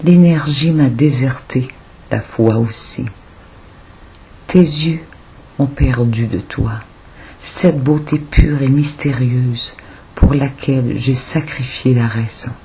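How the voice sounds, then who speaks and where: A woman with a breathy, husky voice reciting poetry as an audiobook reader, in a solemn, deliberate manner with pauses. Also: rumbling low, dark tone, slight background noise, low-quality recording.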